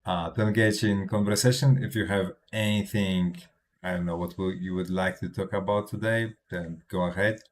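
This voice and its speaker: Deep-voiced man, non-native English, halting. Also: fairly even intonation. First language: not English. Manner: halting, with frequent restarts and tag "right?"; chuckles between points. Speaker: crypto trading streamer. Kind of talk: casual livestream chart commentary.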